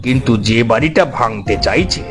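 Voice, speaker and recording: A man playing a middle-aged villager who gravely tells of a local curse in an audio horror story. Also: mid-range voice, expressive, normal speed, natural voice. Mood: wary, earnest. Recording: loud music under the voice.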